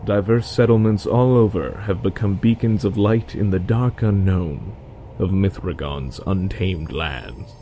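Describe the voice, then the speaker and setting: A man playing an ancient, solemn immortal lord, delivering an epic fantasy prologue in a grave, measured manner. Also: low-pitched, warm tone, a little hiss or hum. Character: ancient solemn risen lord, being born of sparks. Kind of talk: slow epic prologue narration.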